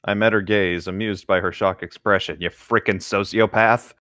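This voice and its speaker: A man's voice: fairly low pitch, steady tone, moderate pace, pushed and bright, dry recording, clean studio sound. A man co-hosting a casual comedic read-aloud, riffing in a joking, nerdy way as he goes. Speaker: co-host reading a book aloud and riffing.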